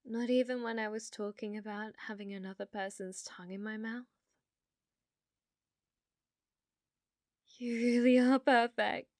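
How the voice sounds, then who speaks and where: A British woman playing an obsessive, manipulative, adoring girlfriend in an intimate ASMR roleplay, soft and breathy, often dropping into whispers. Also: a little high, steady tone, no echo, clean studio sound, close to an everyday voice. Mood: tender, manipulative. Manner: slow, coaxing, intimate.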